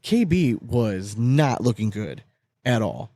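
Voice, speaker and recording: A man in a relaxed podcast conversation, talking candidly and swearing freely. His voice sits at an ordinary middle pitch. The sound is dry and close to the mic, with no room around it. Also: slow, no room sound. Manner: loose and candid, swears freely, laughs between points. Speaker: podcast co-host. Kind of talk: casual sports chat.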